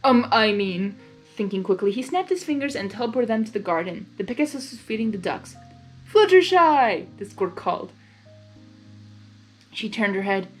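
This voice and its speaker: A woman's voice: mid-range voice, lively intonation, fairly quick, darkish tone, soft background music. A woman hosting and narrating a story reading expressively, with casual asides. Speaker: host and narrator of a blind reading.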